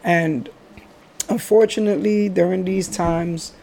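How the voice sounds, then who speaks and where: A man's voice: high-pitched, steady tone, unhurried, creaky, darkish tone, a little hiss or hum. A middle-aged man from the Bronx hosting a podcast, talking in a reflective, rambling way and laughing often. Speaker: podcast host. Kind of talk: casual podcast chat.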